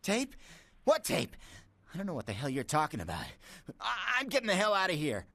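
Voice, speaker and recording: Nervous video game street guy, frightened and evasive, stuttering, very animated pitch, dry recording. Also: fairly quick, no echo, heavily acted. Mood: defensive, panicky. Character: jittery, frightened lowlife man with street talk. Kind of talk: video game character dialogue.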